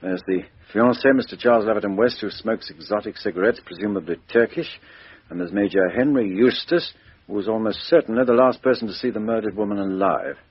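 An actor playing a brisk, courteous British police inspector questioning people in a 1950s radio mystery. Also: male voice, on the low side, husky, dry recording. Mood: brisk, musing. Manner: businesslike, questioning, hesitant fillers. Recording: muffled 1950s radio drama recording.

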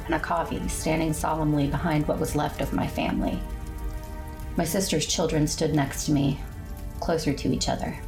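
A woman's voice: low-pitched, creaky. A woman narrating a drama in the first person, grave and grieving. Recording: music under the voice.

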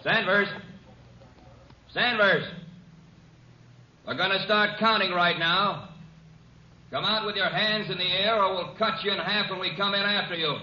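Piercing, high-pitched policeman. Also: unhurried, long pauses, sharp tone, heavily acted. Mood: stern, warning. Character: dutiful policeman with hard, official shouted delivery. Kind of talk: 1950s radio drama acting. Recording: muffled, echoing, faintly noisy 1950s radio.

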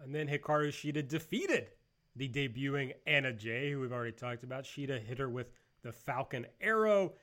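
A man's voice: mid-range voice, brisk, a bit bright. A man hosting a casual panel show, recapping and giving blunt opinions. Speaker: host of a wrestling review show.

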